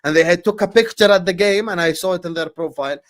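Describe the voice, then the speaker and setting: A man's voice: high-pitched, quick pace. A man co-hosting a football chat show, brash and teasing, swearing freely. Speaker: football podcast co-host. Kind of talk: heated casual football chat.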